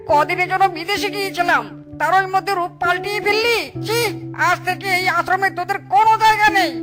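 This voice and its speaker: Cartoon orphanage boss, voiced by a woman: very high pitch, commanding, caring underneath, music behind. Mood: outraged. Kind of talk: children's cartoon voice acting.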